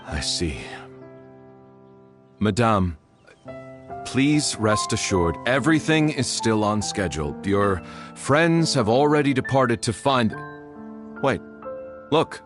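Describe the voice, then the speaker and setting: A patient male doctor speaks slowly and carefully, politely placating in a video game scene. Faint music sits behind his voice. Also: mid-range voice, very animated. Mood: reassuring.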